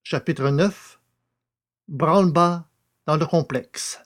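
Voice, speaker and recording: A man's voice: a little high, slow pace. A man reading scripted narration, introducing an audio drama as its narrator.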